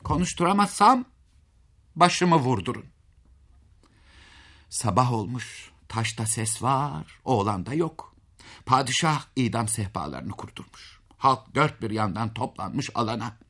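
Male storyteller for folk tales: husky, highly animated pitch, dramatic and folksy, chatting to the listener. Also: measured pace. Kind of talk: expressive oral fairy-tale narration.

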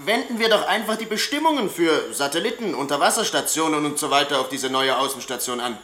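An actor playing a shrewd, skeptical male negotiator in a radio play, arguing in a brisk, matter-of-fact way. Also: fairly high pitch, animated, pushed and bright. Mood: shrewd, assertive. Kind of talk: German radio play acting. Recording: echoing room.

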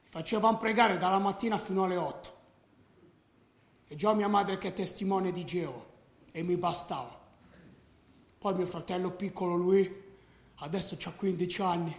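A restless young tearaway confesses without regret in a radio play. His voice is high with a gravelly creak, colloquial and matter-of-fact, in an echoey room. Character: restless delinquent young male football fan, unrepentant. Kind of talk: radio drama confessional monologue.

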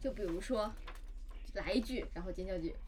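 A woman's voice: medium pitch, brisk, over music. A woman chatting casually and giving directions in a group game. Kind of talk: casual chat.

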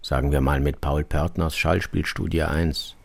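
A man presenting a scripted radio introduction in a measured, explanatory way. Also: rumbling low, steady tone, gravelly.

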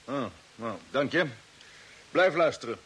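An actor playing a determined expedition commander in an old radio drama, with a commanding, earnest manner. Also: male voice, mid-range voice, lively intonation, a little slow, fairly bright tone, husky. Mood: firm, sober. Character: determined male space expedition commander on a Mars mission. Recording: old radio recording with faint hiss.

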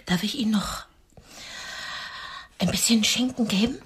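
An actress playing a nervous, coy, wounded single woman who flirts politely on a date in a radio drama, measured and sometimes breathy. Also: fairly high pitch, fairly bright tone, heavily acted. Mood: soft, confiding.

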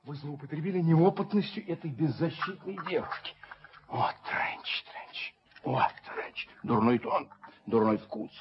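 Pompous, indignant gentleman, muffled tape. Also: fairly high pitch, expressive, unhurried. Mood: scandalised. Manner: pompous, theatrically indignant, moralising. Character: pompous, prim gentleman scolding his friend. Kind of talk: Soviet radio drama acting. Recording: dull, noisy vintage radio-play tape.

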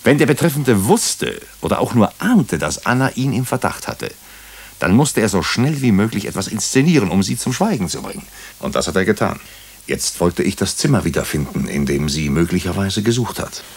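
An actor playing a wry doctor and amateur sleuth who also narrates a German radio drama, with a husky, gravelly voice and a dryly humorous manner. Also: male voice, fairly low pitch, sing-song, moderate pace, heavily acted. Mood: intent, persuasive.

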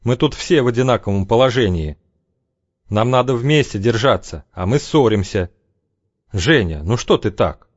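A man voicing a calm, wounded young man who talks steadily and conciliatorily. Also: mid-range voice, moderate pace, dry recording, muffled recording, lightly acted.

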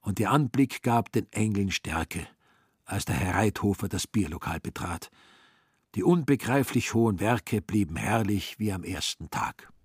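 A man narrating a literary radio drama in a scripted storytelling style, with a husky voice. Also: fairly low pitch, lively intonation, a little slow, a little mellow, no echo, clean studio sound.

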